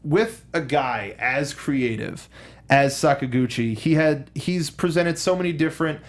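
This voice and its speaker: A man co-hosting a casual film discussion, speaking in a halting, rambling way and often circling back to rephrase with enthusiasm. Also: a little high. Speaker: co-host of a film discussion show.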